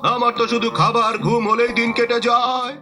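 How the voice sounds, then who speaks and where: A man's voice: extremely high pitch, flat delivery, moderate pace. A man voicing a silly, easygoing and lazy younger-brother cat in a comic cartoon dub, chatty and naive. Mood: cheerful. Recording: loud music under the voice.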